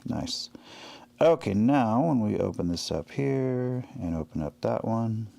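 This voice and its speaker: A man with a heavily creaky, fry-laden voice talks at an unhurried pace. He narrates his tasks casually in short, halting bursts, as if walking viewers through each step. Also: fairly low pitch, animated, warm tone. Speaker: livestreaming audio producer. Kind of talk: casual work-along narration.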